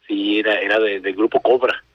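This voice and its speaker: A man in his fifties, a paramedic calling in to a radio show, chatting casually and telling stories with slangy, quick speech. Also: lowish voice, fairly even intonation, slightly rough, no room sound. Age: 50s. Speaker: paramedic caller. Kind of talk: casual phone chat. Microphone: phone. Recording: dull lo-fi phone line.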